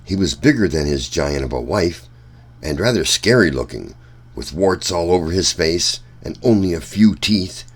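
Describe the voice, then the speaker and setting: A man reading a children's story aloud as narrator, with deliberate, measured phrasing. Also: sits low, sing-song, husky.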